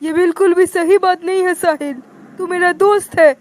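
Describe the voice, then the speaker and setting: Lovestruck cartoon college lad played by a woman, high register, loud, excitable and easily worked up. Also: normal speed. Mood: indignant. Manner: loud, indignant, pleading. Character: excitable, smitten young male college student.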